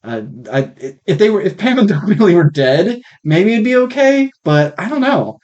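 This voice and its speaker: A man hosting a podcast, chatting casually in an informal, frank and joking way, on slightly rough mic audio. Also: high-pitched, very animated, normal speed, darkish tone, lo-fi sound. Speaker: podcast host.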